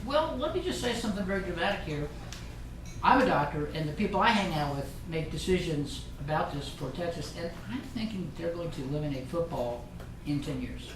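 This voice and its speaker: A man's voice: fairly high pitch, animated, fairly quick. A middle-aged man from Ireland giving a casual, joking talk to a live group, rambling with frequent restarts. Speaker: guest speaker. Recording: roomy meeting room, distant mic, noisy.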